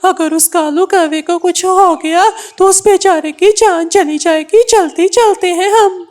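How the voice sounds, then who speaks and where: Male cartoon parrot, female performer: very high voice, unhurried, earnest, quick to scold. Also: a little mellow. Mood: worried. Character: earnest, easily upset male parrot friend. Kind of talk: Hindi kids' cartoon dubbing.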